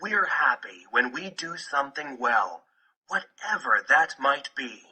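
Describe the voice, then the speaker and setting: Male picture-book narrator, slow and deliberate, with lively pitch swings. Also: breathy edge, low-quality recording. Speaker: audiobook narrator.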